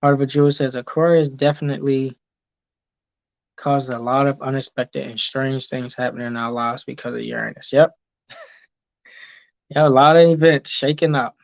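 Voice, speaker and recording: A man talks through his ideas at an easy pace, with a mid-pitched voice and a lot of "um" and "okay" between thoughts. He stops to read viewers' comments aloud and answer them. The recording is dry and a little dull, like a cheap mic. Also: steady tone, vocal fry, no room sound. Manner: unhurried, many ums and okays, reads comments aloud. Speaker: host reading live chat. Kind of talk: casual teaching talk with viewers.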